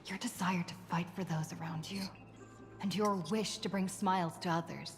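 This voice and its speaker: A woman voicing a calm, reassuring mentor in a video game. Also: lowish voice, normal speed, soft background music, lightly acted. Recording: game audio with long reverb.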